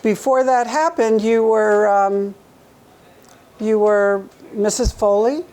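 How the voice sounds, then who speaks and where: A woman's voice: mid-range voice, slow pace, a little mellow. A woman hosting an interview, asking chatty, leading questions with frequent restarts. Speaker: talk show host. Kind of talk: conversational interview.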